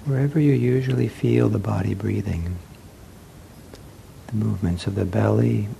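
A man teaching a guided meditation in gentle, soothing short phrases with long pauses. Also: fairly low pitch, creaky, a little hiss or hum.

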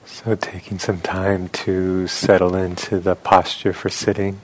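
A man leading a guided meditation as a teacher, speaking reflectively in short phrases with long, unhurried pauses. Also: deep voice, flat delivery, poor audio.

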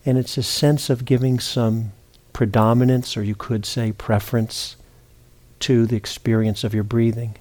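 A man teaching meditation, giving gentle, unhurried guided instructions with long pauses between phrases. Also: mid-range voice, flat delivery.

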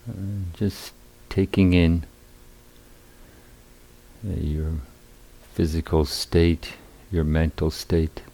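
A man teaching guided meditation at a retreat, speaking in deliberate, hesitant phrases with long pauses. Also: deep voice, fairly even intonation, dark tone, low-quality recording.

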